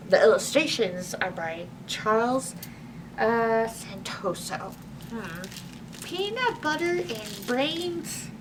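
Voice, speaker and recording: A woman presenting a storytime for young children, reading aloud and chatting to them in an animated, exclamatory way. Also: fairly high pitch. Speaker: library storytime host. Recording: distant microphone with faint background noise.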